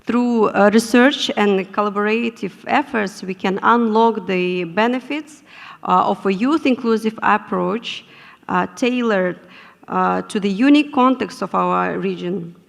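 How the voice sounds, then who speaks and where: A woman moderating a conference panel in a measured, formal manner, often pausing with fillers. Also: medium pitch, gravelly. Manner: measured, with frequent hesitations. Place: conference hall. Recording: echoey conference hall.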